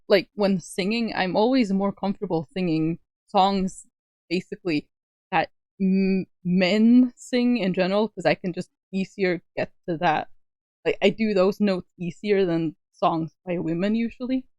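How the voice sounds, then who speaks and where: A woman streamer chatting on a call, soft-spoken and laughing often. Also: medium pitch, a little slow, dry recording. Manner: giggly, laughs often, speaks softly. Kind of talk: casual livestream chat on a call.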